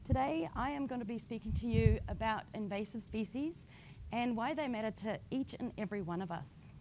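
Slightly croaky woman giving a science talk. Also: a little high, fairly quick, noisy background. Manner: friendly, plain explaining with light humour. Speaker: invasive species outreach coordinator. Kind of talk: public science talk with slides.

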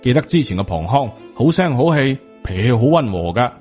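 A man's voice: medium pitch, big pitch swings, fairly quick, a little mellow. A man with a Hong Kong Cantonese accent reading scripted supernatural horror fiction as an audiobook narrator. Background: music.

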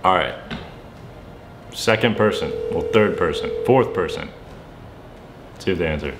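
A young man chatting casually and excitably to camera in slangy, playfully dramatic speech in a bedroom. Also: low-pitched, moderate pace, background noise. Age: young adult. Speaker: vlogger making prank calls. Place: bedroom, indoors.

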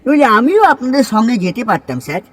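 A persuasive, respectful driver in an audio drama. His voice is high for a man and moves in animated swoops as he reasons politely. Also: quick pace, heavily acted. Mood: respectful, persuading. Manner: deferential but reasoning. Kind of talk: Bengali audio story dramatization.

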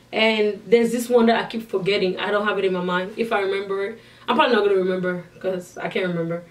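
A woman's voice: fairly high pitch, distant mic. Young woman, accented english, chatty vlog q&a, voice a little off-mic. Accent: non-native English accent. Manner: chatty, addresses viewers directly, frequent restarts. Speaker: YouTuber answering viewer questions. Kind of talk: casual Q&A vlog. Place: indoors, in front of a sequined backdrop.

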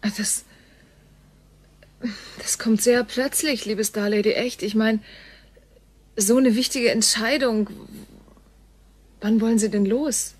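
A fairly high-pitched, down-to-earth woman, played by an actress in a sci-fi radio drama. She talks frankly and colloquially, in halting, spontaneous phrases, friendly and easily astonished. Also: fairly even intonation, a little mellow. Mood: surprised, flustered. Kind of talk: naturalistic science fiction radio play acting.

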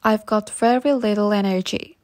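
A woman reading short English practice phrases slowly and saying each one twice for learners. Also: mid-range voice, steady tone, a little mellow. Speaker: English teacher.

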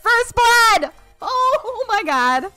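A woman's voice: very high voice, sing-song, drawn-out words, bright, forceful tone, soft background music. A young woman streaming a mobile shooter, chatting casually with viewers and laughing often. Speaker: game streamer. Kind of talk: casual live-stream chat while playing.

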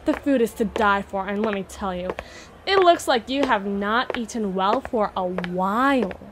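A woman's voice: mid-range voice, expressive, background noise, lightly acted. A woman playing a rich, possessive young heiress who is condescending and doting, with a haughty, teasing manner, in an ASMR roleplay. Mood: gushing. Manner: haughty, teasing, drawn-out words. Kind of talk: ASMR roleplay, scripted monologue.

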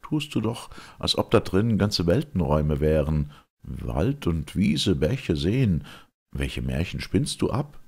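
A sceptical young maiden of a classical chorus, read by a man. The delivery is low and husky, declaiming verse with deliberate, dramatic weight. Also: very animated, a little mellow, heavily acted. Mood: impatient, curious.

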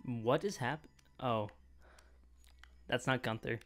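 A man's voice: mid-range voice, slow pace, fairly bright tone, soft background music. Young man giving laid-back casual commentary. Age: young adult. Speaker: reaction video host. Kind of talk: casual off-the-cuff reaction commentary. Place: small room indoors at home. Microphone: close desk microphone, headset worn.

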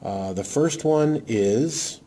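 A man casually walking through a how-to demo, talking in a halting way with many fillers. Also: medium pitch, expressive, mellow, slight background noise. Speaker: radio hobbyist demonstrating programming. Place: indoor desk, off camera.

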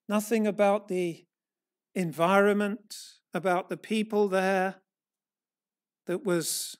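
A middle-aged man, a church elder, preaching a sermon from a lectern in a small church hall, earnest and measured, rising and falling in force. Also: high voice. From England. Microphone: far camera, standing at a lectern.

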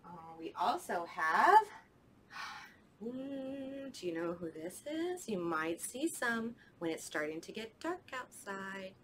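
A woman's voice: mid-range voice, sing-song, slow, fairly bright tone, slight background noise. A young woman, a children's librarian, leading a storytime with songs, talking to kids at home in a sing-song way in a library children's room.

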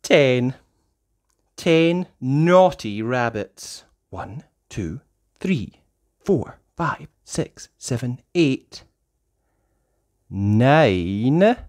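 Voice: medium pitch, big pitch swings, extremely slow, long pauses, a little mellow. A host reading a counting picture book aloud to young children in a sing-song, exaggerated way.

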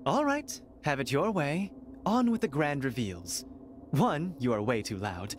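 An actor playing an arrogant, mocking noble heir in a video game, with theatrical, smug sarcasm. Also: male voice, on the high side, sing-song, a little slow, a little hiss or hum. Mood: condescending.

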